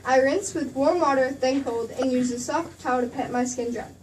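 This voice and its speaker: A gushing 1940s Hollywood gossip reporter, acted by a woman, high-pitched and chic. She delivers old radio ad banter slightly off-mic with faint noise. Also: moderate pace, distant mic, close to an everyday voice, rough recording. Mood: persuasive.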